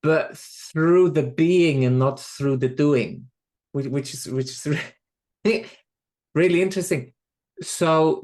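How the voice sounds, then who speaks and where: A man with a Swiss accent speaks in a thoughtful, halting way as a guest on a podcast, often repeating a word before finding the next. His voice sits at an everyday middle pitch. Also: darkish tone. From Switzerland. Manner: halting, repeats words, reflective. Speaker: medium. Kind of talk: podcast interview.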